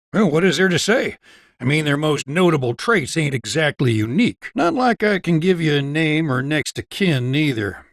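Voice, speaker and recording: Folksy Southern doctor with lively pitch. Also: male voice, dry recording, clean studio sound. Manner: folksy, easygoing drawl with wry humour. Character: folksy, wry small-town doctor and researcher. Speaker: video game voice actor. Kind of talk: character dialogue in a video game.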